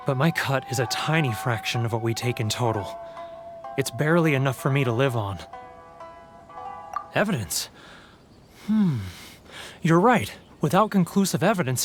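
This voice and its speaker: An actor playing a homesick, guilt-ridden, weak-willed merchant in a video game, with a theatrical, anguished delivery. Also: male voice, a little high, natural voice.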